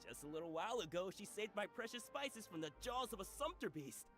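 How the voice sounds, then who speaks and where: A man's voice: high-pitched, sing-song, brisk, a bit bright, faint music behind. An actor playing a jovial, generous male food merchant who chuckles and teases. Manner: chuckling, good-humored, teasing.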